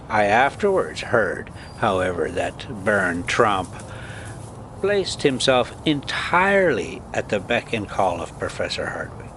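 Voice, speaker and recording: An older man with a low voice reads a storybook aloud at a slow, deliberate pace. His delivery is dramatic and swings widely in pitch, with emphatic pauses. There is clear background noise behind him. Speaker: storyteller reading a book aloud. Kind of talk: expressive storybook reading with casual asides.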